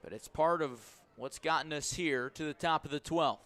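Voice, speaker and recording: Higher-pitched male baseball play-by-play announcer. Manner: measured narration rising to excited shouts on key plays. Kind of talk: live sports commentary.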